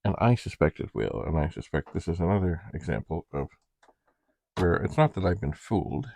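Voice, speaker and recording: Low-voiced man rambling through a casual unboxing. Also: animated, moderate pace, gravelly, darkish tone, a little hiss or hum. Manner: rambling, thinking aloud. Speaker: video host.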